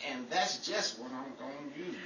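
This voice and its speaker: A woman's voice: a bass voice, normal speed, far from the mic. A woman playing a wisecracking, exasperated character in a 1940s-style radio comedy, full of sarcastic quips. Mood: muttering, sarcastic. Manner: muttered asides, sarcastic quips. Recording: echoey room, off-mic, faint crowd noise.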